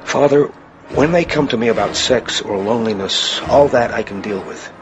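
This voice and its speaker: Earnest troubled priest played by an actor. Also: male voice, lowish voice, normal speed, dull audio, lightly acted. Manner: earnest, sober. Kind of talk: radio drama acting. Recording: noisy radio play recording.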